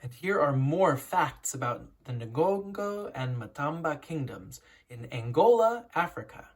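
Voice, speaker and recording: An educator reading a children's picture book aloud in a storytelling, instructive way with deliberate emphasis and a slightly husky voice. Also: mid-range voice, sing-song.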